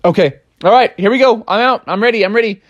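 A woman voicing a cocky, boastful male frog baseball pitcher who brags in a loud, shouting voice. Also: fast talker, highs cut off, lightly acted. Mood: flustered. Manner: brash, shouting, laughing boasts.

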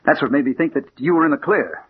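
An actor playing a hard-boiled American detective, narrating and acting with dramatic intensity in a 1940s radio crime drama. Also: male voice, a little high, animated, fast talker, husky. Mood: terse. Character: hard-boiled male private detective bent on revenge. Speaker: narrator and lead character. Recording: muffled old radio recording with hiss.

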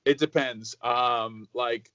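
A man's voice: mid-range voice, slow pace, dry recording. Chatty young man, dry muffled sound. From United States. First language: Croatian. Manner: rambling, many "like" fillers, animated storytelling. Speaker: guest. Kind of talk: casual interview chat.

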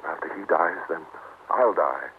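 A thin, hissy vintage radio recording of a haunted gentleman. He is apologetic and earnest, halting as he speaks in a fairly low voice, as if dreading what is coming. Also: narrow, tinny audio. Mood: grave, fearful. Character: frightened, apologetic English gentleman fearing a deadly curse. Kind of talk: old-time radio mystery acting.

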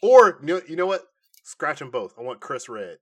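A man co-hosting a podcast in casual chat, informal and often halting as he thinks aloud. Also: fairly high pitch, big pitch swings, husky. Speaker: podcast co-host. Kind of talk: casual chat, pitching comic movie ideas.

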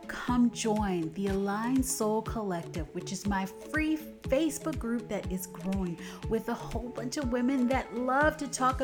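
A woman hosting a podcast, bubbly and effusive, wrapping up an episode and reading her outro. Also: fairly high pitch. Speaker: podcast host. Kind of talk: casual podcast chat and scripted outro.

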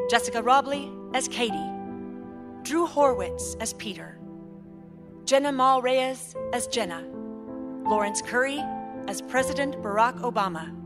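Higher-voiced woman narrating over loud music. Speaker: narrator reading stage directions. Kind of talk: scripted narration of a radio play.

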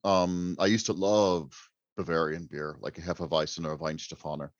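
A man's voice: low voice, level tone, fairly quick, no echo, dull audio. A man chatting casually as a writer guest on a podcast, wry and joking. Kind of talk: casual chat.

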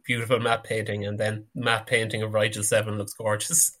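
A man's voice: lowish voice, flat delivery, normal speed, a bit bright. A man on a podcast panel, casually discussing a TV episode.

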